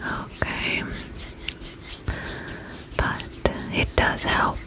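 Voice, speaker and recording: Very slow whispering woman. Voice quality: breathy, unvoiced whisper. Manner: soothing, repeats short reassuring phrases. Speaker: ASMR roleplay host, spa attendant. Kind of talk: ASMR roleplay.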